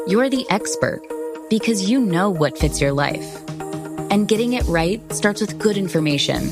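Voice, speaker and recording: A woman announcing a scripted beverage industry advertisement. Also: mid-range voice. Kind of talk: scripted radio ad read.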